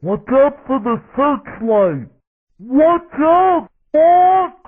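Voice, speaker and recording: A man voicing a young, excitable, anxious frog starfighter pilot in a video game, boyish and exclamatory, in short radio callouts. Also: very high voice, drawn-out words, full character voice. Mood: alert. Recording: tinny, band-limited radio-like game audio.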